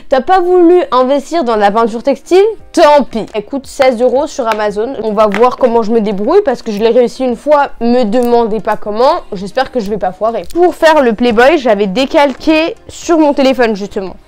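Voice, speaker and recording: A woman's voice: on the high side, fairly quick, few pauses, darkish tone. A young woman chatting casually and playfully in a do-it-yourself vlog, joking about herself. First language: bilingual French and Spanish. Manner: playful, joking, self-mocking. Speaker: vlogger. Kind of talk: casual DIY vlog chat. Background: music.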